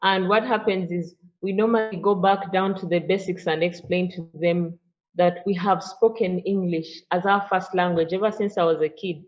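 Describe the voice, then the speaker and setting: Low-voiced Ugandan woman on a muffled call. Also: fairly even intonation, moderate pace. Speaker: interview guest, online English teacher. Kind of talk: explanatory phone interview. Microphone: phone call. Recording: dull, band-limited phone call.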